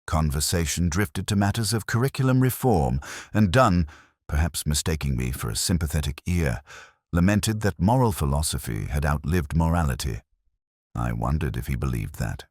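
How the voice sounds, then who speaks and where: Very deep brooding detective played by a man. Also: animated, darkish tone, dry recording, studio quality. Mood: brooding, reflective. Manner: measured, literary, reflective. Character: melancholy, observant older male English police inspector recounting a case. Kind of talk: scripted first-person detective narration.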